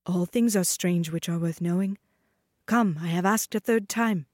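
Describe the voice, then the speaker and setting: A woman voicing possessive enchanted shoes that call themselves a dancing master, smooth and commanding in a storybook reading. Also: fairly low pitch, moderate pace, a little mellow, no room sound, clean studio sound, close to an everyday voice. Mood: coaxing.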